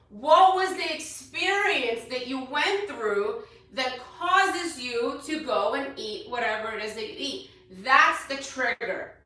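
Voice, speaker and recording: A woman in her thirties with a loud voice, a fitness coach giving a chatty motivational talk to her followers from a hotel room and repeating her points for emphasis. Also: high-pitched. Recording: very echoey room, voice from a distance.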